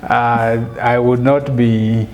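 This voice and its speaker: A man in his thirties with a Kenyan accent, a guest in a studio interview, telling stories in a measured way with fillers and restarts, on a lapel mic. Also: mid-range voice, steady tone, a little mellow, a little hiss or hum. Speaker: guest, author and business speaker. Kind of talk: storytelling interview answer. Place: studio set, seated in an armchair.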